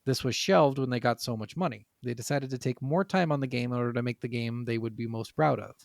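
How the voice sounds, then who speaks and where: Male podcast co-host, noticeable vocal fry, dry sound, conversational with loose swearing. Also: medium pitch, fast talker, no room sound. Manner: heavy casual swearing. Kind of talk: casual video game podcast chat, partly reading from notes.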